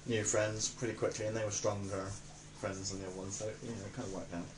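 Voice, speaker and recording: Young southern English man, lowish voice, echoey. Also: flat delivery, fast, recorded from a distance. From Reading, England. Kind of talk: casual documentary interview. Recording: roomy echo, faint background noise.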